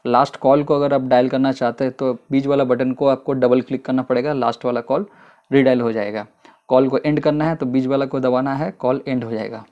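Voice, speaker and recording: A man giving a casual, unhurried product review in a vlog, explaining step by step with frequent fillers. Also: mid-range voice, darkish tone, lo-fi sound.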